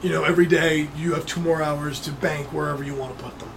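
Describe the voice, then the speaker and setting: A game master narrating a tabletop game in a relaxed, conversational way. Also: medium pitch, quick pace, background noise.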